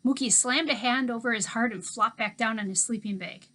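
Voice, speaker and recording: A woman teacher reads a chapter book aloud to her class, giving the story an expressive, storytelling lilt. Her voice sits a little higher than most women's. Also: a little fast. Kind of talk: reading a novel aloud to a class.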